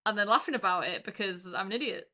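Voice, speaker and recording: A woman co-hosting a casual podcast, chatty and quick to laugh, with lots of fillers. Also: mid-range voice, sharp tone. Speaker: podcast co-host. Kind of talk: casual chat.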